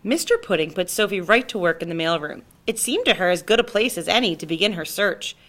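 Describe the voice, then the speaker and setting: A woman narrating a children's story aloud in an expressive storytelling style. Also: on the low side, fairly quick. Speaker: storybook reader.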